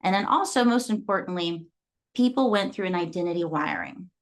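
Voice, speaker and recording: A woman's voice: on the low side, lively intonation, fairly quick. A woman in her thirties from Guatemala, a fitness coach giving an earnest motivational talk that is full of client stories. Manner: earnest, storytelling. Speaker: fitness coach and gym owner.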